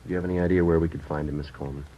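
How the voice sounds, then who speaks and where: An actor in 1950s radio drama playing a police sergeant who narrates and questions in a clipped, matter-of-fact way. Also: male voice, rumbling low, fairly even intonation, racing. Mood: terse. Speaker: detective narrator. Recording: muffled old radio broadcast with music.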